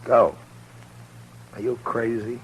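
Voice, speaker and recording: An actor playing a stern, hard-bitten Old West marshal, commanding and blunt, in a 1950s radio drama. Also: male voice, mid-range voice, flat delivery, slow pace, dull audio, natural voice. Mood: angry, urgent. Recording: noisy old radio broadcast recording.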